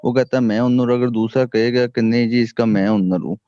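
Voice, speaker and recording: A man's voice: mid-range voice, fairly even intonation, fairly quick, dark tone, dry recording, lo-fi sound. A male student offering a simple example in a classroom discussion.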